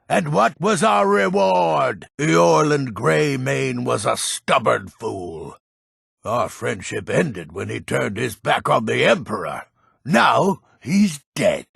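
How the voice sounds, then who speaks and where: A man playing a grumpy, proud old warrior nobleman in a fantasy video game, blustering and haughty with a gravelly, raspy voice. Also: medium pitch, a bit bright, no echo, studio quality, full character voice. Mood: bitter, indignant. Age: older. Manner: blustering, haughty, drawn-out emphatic delivery.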